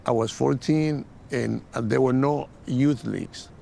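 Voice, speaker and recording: Older guy from mexico, creaky voice, reminiscing kinda haltingly, slight rumble behind. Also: dark tone. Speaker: interview guest, former soccer player. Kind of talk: casual reminiscence. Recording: faint rumbling background noise.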